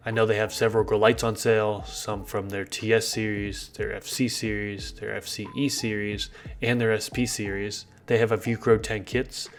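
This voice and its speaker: A man with a congested voice, chatting casually and upbeat as he hosts a gardening video and asks viewers for feedback. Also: lowish voice. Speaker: gardening channel host.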